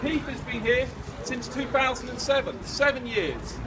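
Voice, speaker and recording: A man speaking as a union branch secretary, addressing an outdoor protest without a microphone in a loud, projecting, repetitive way. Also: very high voice, moderate pace, crowd noise. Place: outdoors at a college protest, street traffic nearby. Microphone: distant camera. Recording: distant voice with street noise. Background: traffic and street ambience.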